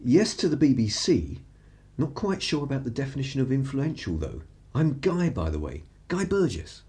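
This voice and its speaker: A man's voice: medium pitch, sing-song, moderate pace, a little mellow, husky. A man with an upper-class English accent playing an urbane, wry 1930s journalist in a radio play, languid and drily superior. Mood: suave, amused. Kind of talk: period radio play acting.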